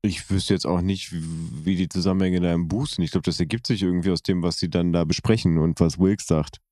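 A man with a lowish, mellow voice discusses details as a podcast host. His talk is casual and explanatory, in a very dry recording. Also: steady tone, a little fast, no room sound. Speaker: podcast host comparing book and audio drama.

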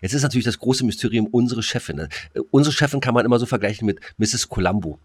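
A middle-aged man co-hosting a podcast in casual, joking chat, halting and repeating himself for emphasis. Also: mid-range voice, animated, no echo, studio quality. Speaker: podcast co-host.

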